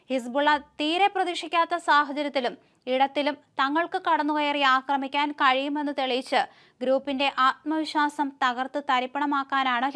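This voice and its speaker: A woman's voice: sits high, fairly bright tone. A woman with a Kerala Malayali accent presenting the news as scripted narration.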